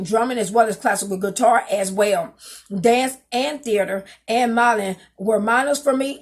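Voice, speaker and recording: A woman's voice: a little high, breathy edge. A woman in her fifties talking to her webcam at home, reading from her resume in a rambling way and spelling out words. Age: 50s. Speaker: vlogger, singer and dancer. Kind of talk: casual talk to camera, reading from her resume. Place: small home room, indoors. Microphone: webcam on a desk.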